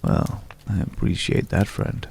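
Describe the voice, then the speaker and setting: A man acting a mysterious, courteous stranger in an ASMR roleplay, speaking in a hushed, measured way with lingering pauses and a deep, gravelly voice. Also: natural voice. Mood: solemn, measured. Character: mysterious, courteous male stranger visiting a hunter's night camp. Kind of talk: ASMR roleplay, slow dramatic monologue.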